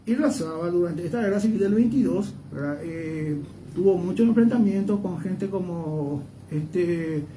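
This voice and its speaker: A man's voice: high voice, a little slow, warm tone. A man with a Paraguayan accent giving conversational historical commentary as a guest, digressing and often checking for agreement. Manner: digressive, often seeks agreement with tag questions. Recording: noisy, distant microphone.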